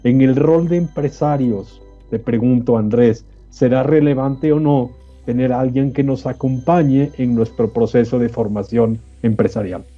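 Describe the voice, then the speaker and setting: A man's voice: mid-range voice, unhurried, few pauses. Male panel host, rhetorical questioner, business talk, faint music behind, lo-fi sound. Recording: dull lo-fi audio, music behind.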